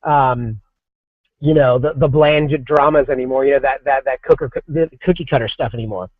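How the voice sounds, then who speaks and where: A man's voice: medium pitch, moderate pace, no room sound. Dry lo-fi forty-year-old man rambling. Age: 40s. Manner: rambling, many restarts and fillers. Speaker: comics creative director, guest. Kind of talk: casual podcast interview. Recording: dry, dull lo-fi sound.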